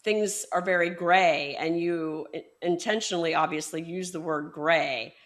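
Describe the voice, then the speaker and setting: Soft-pitched woman hosting a book interview. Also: fairly even intonation, measured pace. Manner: hesitant, many fillers and restarts. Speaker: podcast host. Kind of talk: conversational interview.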